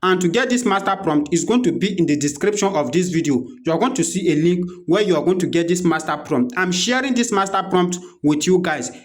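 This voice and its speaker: A man with a Nigerian accent presenting an unscripted software walkthrough, explaining step by step and repeating his instructions as he goes. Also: high voice, expressive, a little fast, few pauses. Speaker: tutorial presenter.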